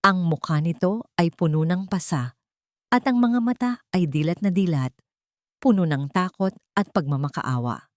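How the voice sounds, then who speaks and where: A woman narrating a scripted audio drama in a steady, dramatic storytelling manner. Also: lowish voice, normal speed, no echo, clean studio sound.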